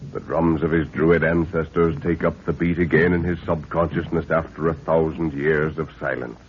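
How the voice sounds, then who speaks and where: An actor serving as the grave, dramatic narrator of an old jungle mystery radio serial, reading scripted narration. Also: male voice, extremely low pitch, a little hiss or hum. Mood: ominous. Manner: portentous, dramatic. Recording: tinny, band-limited 1930s radio recording.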